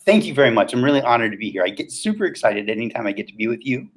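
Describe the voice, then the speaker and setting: A man in his fifties talks as a guest coach in a casual interview. His voice sits at an average pitch for a man. He speaks motivationally, often setting up a question and then answering it himself. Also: very animated, very fast, husky. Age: 50s.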